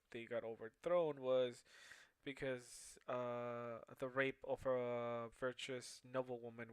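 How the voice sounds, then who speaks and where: A young man whose first language is Spanish, giving a class presentation in a halting way with many fillers and restarts. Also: medium pitch, clear, resonant tone, no room sound. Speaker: student presenter.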